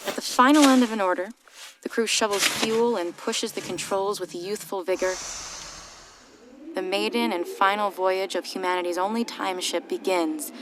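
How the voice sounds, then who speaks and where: A woman narrating a scripted story in a measured, dryly ironic manner. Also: medium pitch, noisy background.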